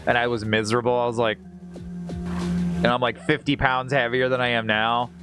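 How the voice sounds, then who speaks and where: A man's voice: mid-range voice, level tone, moderate pace. A man live streaming a video game, chatting casually with viewers in a rambling, jokey way and reacting aloud as he plays. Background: video game music.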